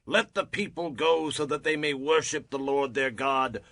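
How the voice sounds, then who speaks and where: An actor playing an exasperated Egyptian official in a dramatized audio Bible, urgent and insistent. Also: male voice, mid-range voice, normal speed, creaky, fairly bright tone, no echo.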